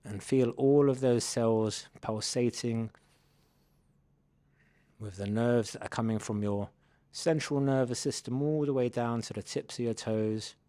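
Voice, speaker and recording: Man gently guiding a meditation, dry close sound. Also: mid-range voice, no room sound. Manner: gentle, unhurried instructing in soft invitations. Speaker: mindfulness meditation guide. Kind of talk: guided body scan meditation.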